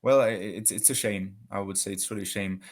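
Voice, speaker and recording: A man from Switzerland talking as a guest in a casual debate conversation, halting and searching for words with many restarts. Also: on the low side, fairly even intonation.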